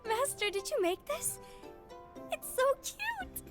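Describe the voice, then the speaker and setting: A woman playing a sweet, curious young apprentice in a fantasy game cutscene, wondering and soft in manner. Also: extremely high pitch, sing-song, slow pace, heavily acted. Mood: delighted. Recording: game cutscene with music behind.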